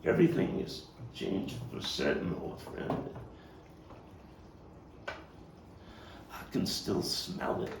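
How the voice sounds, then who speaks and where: Man acting deep, soul-searching nostalgic Brooklyn man. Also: sing-song, creaky, exaggerated voice. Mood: brooding, anguished. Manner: halting, repeating words, swinging from hushed to emphatic. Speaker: playwright and actor. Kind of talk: dramatic stage monologue at a table read. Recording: distant mic, roomy echo, faint noise.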